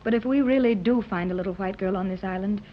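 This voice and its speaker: A woman acting an earnest, well-to-do mother in a 1930s radio adventure serial. She speaks clearly and hopefully in a medium-pitched voice. The recording is old and dull, with faint noise. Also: fast, natural voice. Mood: hopeful, excited. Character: earnest, hopeful wealthy mother seeking lost daughter.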